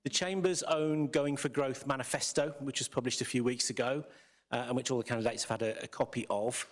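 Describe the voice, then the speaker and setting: A man hosting a business hustings debate in a theatre, brisk and organised as he introduces the panel and explains the format. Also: medium pitch, steady tone. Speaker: debate host. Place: theatre with audience.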